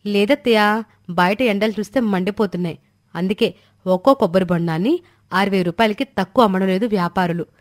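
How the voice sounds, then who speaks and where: A woman's voice: medium pitch, close to an everyday voice. A woman playing a young village daughter-in-law in a storybook drama, emphatic, confident and persuasive. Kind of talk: dramatized Telugu moral story dialogue.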